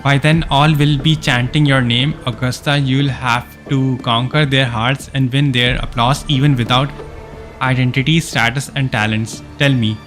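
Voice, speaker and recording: A man voicing a stern male mentor from a fantasy game, reading the part aloud in a solemn, deliberate way. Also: medium pitch, fairly even intonation, fairly bright tone, soft background music, natural voice. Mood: solemn, challenging. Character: stern male arena mentor challenging a young fighter.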